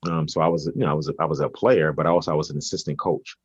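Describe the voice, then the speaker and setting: A man's voice: a bass voice, steady tone, racing, slightly rough, no room sound, highs cut off. A man, a basketball coach answering interview questions conversationally, hesitating and repeating words as he thinks. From Brooklyn, New York, United States. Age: 40s.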